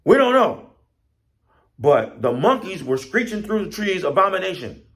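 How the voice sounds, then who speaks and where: A man's voice: mid-range voice, very animated, a little slow, fairly bright tone, pitched above the performer's own voice. A man voicing flustered, clueless forest animals in a folktale, agitated and defensive as they explain.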